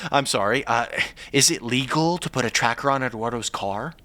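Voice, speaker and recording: A man's voice: medium pitch, moderate pace, bright, forceful tone, natural voice. A man playing an amateur sleuth's nosy, persistent sidekick in an audio drama, chatty and eager. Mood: playful pleading. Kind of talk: naturalistic audio drama acting.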